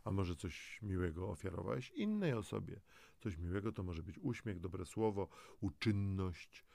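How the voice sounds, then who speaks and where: Man, motivational audio guide narration, encouraging, direct address, creaky low voice. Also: lively intonation, moderate pace, mellow, no echo. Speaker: presenter of a self-help audio guide.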